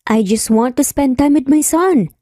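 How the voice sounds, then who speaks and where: A woman's voice: high voice, a little slow, warm tone, no echo, clean studio sound, pitched above the performer's own voice. A woman voicing a haughty, scheming rich ex-wife in a story, saccharine and condescending. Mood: falsely sweet.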